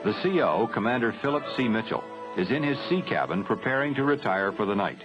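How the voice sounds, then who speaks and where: A man reading scripted training film narration in a formal, measured, matter-of-fact way. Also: mid-range voice, lively intonation, music in the background. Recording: dull 1970s film soundtrack.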